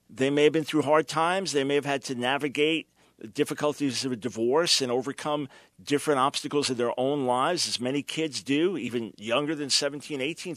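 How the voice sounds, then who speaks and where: A man's voice: medium pitch, a bit bright, dry recording, clean studio sound. An older male host giving emphatic, preacherly commentary to his listeners. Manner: emphatic, preacherly, mocking at times. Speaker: radio show host.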